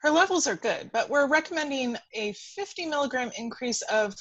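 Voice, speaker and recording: A woman playing a brisk, clinical lab technician with a deadpan edge in a film script. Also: high voice, dull audio, heavily acted. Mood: businesslike.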